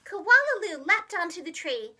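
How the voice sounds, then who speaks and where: A woman reading a children's storybook aloud like a preschool teacher, expressive and dramatic, speaking carefully for young listeners. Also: extremely high pitch, normal speed. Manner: animated, dramatic, gentle enunciation for young children.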